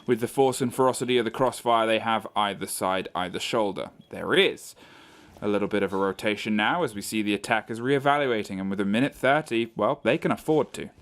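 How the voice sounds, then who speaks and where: A man's voice: on the low side, a little fast, a bit bright. A man giving live commentary and analysis on a competitive video game match. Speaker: esports commentator.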